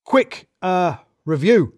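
A man's voice: high voice, very animated, takes it slowly, a little mellow, dry recording, studio quality, pitched above the performer's own voice, full character voice. A man voicing a blustering, panicky office boss in an audio story, barking flustered, stumbling officialese. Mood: officious bluster.

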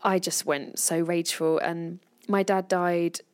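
A woman's voice: lowish voice, flat delivery, a little slow, darkish tone. An English woman in her thirties, talking candidly in a podcast conversation and swearing freely. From England.

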